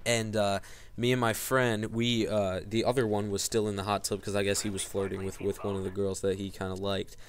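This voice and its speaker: Low-voiced young man rambling through a story. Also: little pitch movement, brisk, a little hiss or hum. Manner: rambling, with frequent fillers and restarts. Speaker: vlogger. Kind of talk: casual storytelling to camera.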